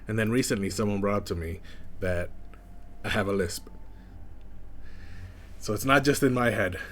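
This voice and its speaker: Flat-toned young man, slight lisp. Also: fairly low pitch, moderate pace, a little hiss or hum. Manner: flat, monotone delivery; rambling with many fillers. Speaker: streamer. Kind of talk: casual chat.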